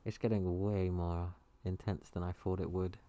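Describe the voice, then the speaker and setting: A man's voice: deep voice, little pitch movement, moderate pace, warm tone, close to an everyday voice. A man playing a skittish, clingy young guy who whimpers and stammers affectionately in an intimate ASMR roleplay. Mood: anxious, sheepish. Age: young adult. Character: skittish, clingy young male best friend, affectionate scaredy-cat.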